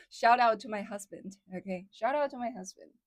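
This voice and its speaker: A woman's voice: a little high, wildly swooping pitch, normal speed, dry recording, clean studio sound. A woman whose first language is Chinese, speaking English with an American Midwest accent as a livestream host, chatty and full of fillers like "like" and "somehow". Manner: chatty, many fillers like "like" and "somehow", laughs often. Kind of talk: casual livestream chat with viewers.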